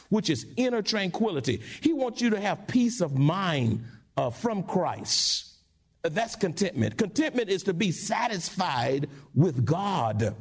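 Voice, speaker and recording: A male pastor preaching a sermon in church, with call-and-response and sudden high-pitched asides. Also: husky. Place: church sanctuary.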